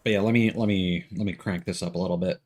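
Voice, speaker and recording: A man's voice: low voice, fairly even intonation, quick pace, darkish tone, slight background noise. A man doing casual live game commentary, rambling and swearing as he muses aloud. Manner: rambling, profane, musing aloud. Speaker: game streamer. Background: game music.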